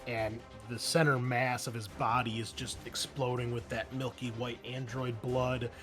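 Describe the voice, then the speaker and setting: A man acts as game master for a tabletop roleplaying session. He narrates off the cuff in a conversational, halting way at a middling pitch, with faint music playing underneath. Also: little pitch movement.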